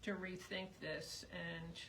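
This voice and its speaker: A woman's voice: lowish voice, level tone, slow, vocal fry, bright, forceful tone. Chatty woman losing her voice. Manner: chatty, rambling, thinking aloud. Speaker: sewing instructor. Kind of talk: live sewing demonstration. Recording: noisy background.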